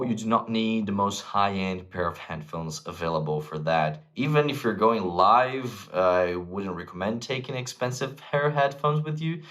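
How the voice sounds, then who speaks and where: A man's voice: on the low side, a bit bright. A man talking informally and enthusiastically, like a vlog host giving casual music gear recommendations to viewers. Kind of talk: casual gear advice to camera.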